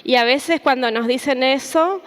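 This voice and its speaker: A woman's voice: sits high, moderate pace. A woman speaks in a reverberant hall. She gives an informal, reflective talk in a conversational way, punctuating it with small rhetorical questions. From Argentina or Paraguay border region. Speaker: academic, activist and book contributor presenting at a book launch. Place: indoor hall at a public book presentation.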